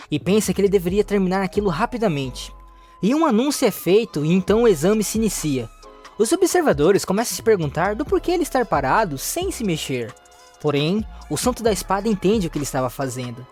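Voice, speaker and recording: Story recap narrator, Brazilian accent, mid-pitched voice with animated intonation, light music underneath. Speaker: narrator of a manhwa recap channel.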